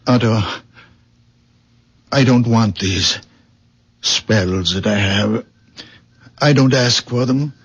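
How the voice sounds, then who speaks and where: A man acting in a radio drama as a gentle, troubled old village healer, speaking haltingly, pleading and repeating himself. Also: mid-range voice, husky. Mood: sorrowful, fervent. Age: older. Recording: dull, muffled old radio recording.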